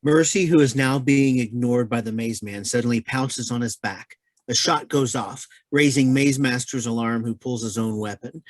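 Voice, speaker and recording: A man's voice: medium pitch, normal speed, no room sound. A man reading stage directions as scripted narration for a play.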